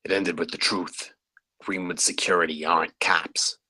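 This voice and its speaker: A man playing a jaded veteran campus security guard in a screenplay table read, matter-of-fact and deadpan. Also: low voice, moderate pace, breathy edge, heavily acted.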